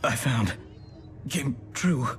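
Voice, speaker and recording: A man's voice: mid-range voice, lively intonation, slow pace, faint music behind. A man playing a wounded, terrified victim in a video game, weak and faltering in pain.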